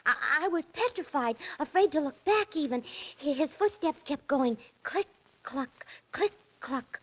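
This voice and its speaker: An actress playing a ditzy, excitable young secretary in a 1940s radio drama, breathless and girlish with folksy slang. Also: high-pitched, measured pace. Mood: scared, whimpering. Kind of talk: 1940s radio comic acting. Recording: 1940s radio recording, faint music.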